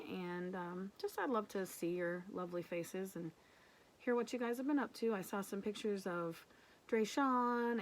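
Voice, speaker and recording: Female teacher, mid-pitched voice, affectionate and simple, storybook read-aloud with casual asides for young kids. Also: low-quality recording. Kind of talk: picture-book read-aloud with casual explanations.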